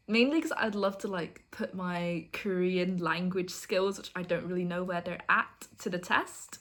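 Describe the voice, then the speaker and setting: A woman's voice: medium pitch, a bit bright, a little hiss or hum. A young woman from the UK, a university student vlogging in a casual get-to-know-me chat, chatty and giggly. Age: young adult. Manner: chatty, giggly, self-deprecating.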